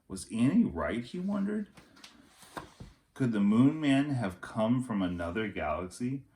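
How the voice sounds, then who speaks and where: A man narrating a storybook for children in the manner of a teacher reading aloud. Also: lowish voice.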